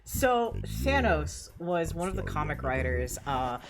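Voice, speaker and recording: A woman co-streaming, chatting in a relaxed, joking way and explaining things. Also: sits low, a little slow, gravelly, music in the background. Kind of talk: casual chat.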